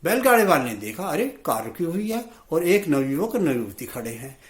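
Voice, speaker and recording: A man telling a moral story in an expressive, colloquial storytelling style. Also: on the high side, normal speed. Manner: expressive, colloquial, acts out dialogue. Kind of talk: animated moral storytelling in Hindi. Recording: roomy, echoey sound.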